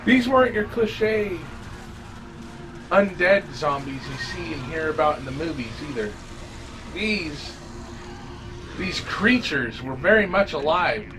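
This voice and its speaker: A man reading a scripted first-person horror story as an audio drama narrator, grave and dramatic with deliberate phrasing. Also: fairly high pitch, expressive, fairly bright tone, slight background noise, rough recording. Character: male survivor recounting a zombie fungus apocalypse. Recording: amateur narration over music. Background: music.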